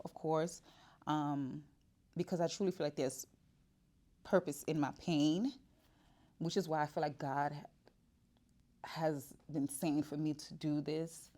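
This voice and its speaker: Deep-voiced woman in her 40s, chatty vlog talk from her shop, lots of ums and restarts. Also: darkish tone. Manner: chatty and candid, with filler words and restarts. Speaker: vlogger. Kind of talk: casual personal vlog.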